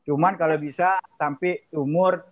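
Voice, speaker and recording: Male chairman, high-pitched, casual explainer who doubles up words, lo-fi call sound. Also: steady tone, moderate pace. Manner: repeats words for emphasis. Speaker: organization chairman answering questions. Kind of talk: informal spoken explanation. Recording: slightly dull online call.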